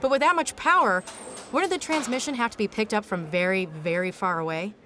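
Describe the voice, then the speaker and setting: A woman's voice: mid-range voice, fairly quick, fairly bright tone, slight background noise, close to an everyday voice. An actress playing a breezy, joking woman scientist in an audio drama, informal and teasing in a folksy way. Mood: musing, casual.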